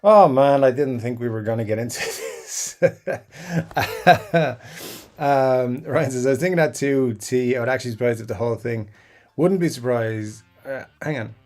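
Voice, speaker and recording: A middle-aged man hosts a casual live stream, reading comments aloud and musing at length. His voice sits at an ordinary pitch, and his talk wanders with fillers, restarts and asides. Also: a little slow, a little mellow, faint music behind. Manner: rambling, chatty, frequent fillers and restarts. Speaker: YouTube guitar channel host.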